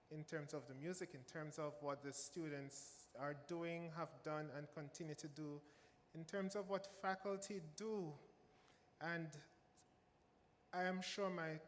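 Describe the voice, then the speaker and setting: A man's voice: fairly high pitch, slight background noise. Jamaican man speaking in a large hall. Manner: emphatic, repeats phrases for stress. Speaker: music professor on a panel. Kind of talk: panel remarks. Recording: reverberant hall with faint ambience.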